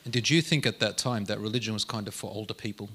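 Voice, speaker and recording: Fast, low-voiced man interviewing. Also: fairly bright tone. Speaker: interviewer, podcast host. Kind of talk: conversational interviewing.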